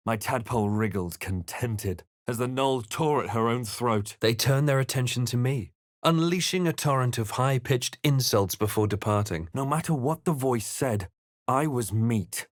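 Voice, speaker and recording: An actor playing a brooding adventurer hero in a fantasy game, speaking in a grave, deliberate, understated way. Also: male voice, mid-range voice, no echo, clean studio sound, close to an everyday voice. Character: brooding, measured adventurer hero recounting his dark journey. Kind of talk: scripted fantasy game narration and character lines.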